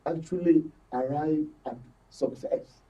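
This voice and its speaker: A man's voice: on the high side, slow pace, dark tone. A man with a Nigerian accent giving political analysis as a guest in a discussion. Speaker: panel guest, political commentator. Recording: muffled, slightly distant microphone.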